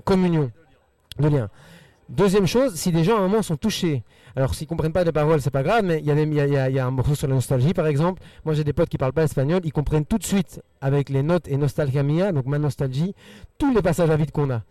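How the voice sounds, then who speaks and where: A man with a Belgian French accent whose first language is Spanish, earnestly answering interview questions in quick rushes with repeated words. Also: on the high side, warm tone, no echo, recorded from a distance. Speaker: musician guest.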